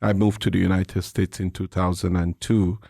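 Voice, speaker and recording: A middle-aged man with a Cameroonian English accent, speaking as an interview guest in a measured, reflective, explanatory way. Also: low-pitched, level tone, gravelly, mellow, no room sound, studio quality. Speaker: interview guest, nonprofit president and CEO. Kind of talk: reflective conversational interview answers.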